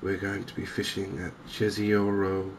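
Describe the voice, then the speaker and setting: A man giving softly spoken, gentle ASMR game commentary in an unhurried, hesitant way. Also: deep voice, completely flat delivery, noisy background. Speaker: host of a cozy gaming channel.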